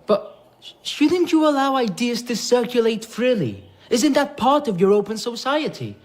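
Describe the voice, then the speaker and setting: An actor playing an idealistic young teacher in a radio drama, earnest and questioning. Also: male voice, extremely high pitch, very animated, a little slow, heavily acted. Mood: questioning, challenged.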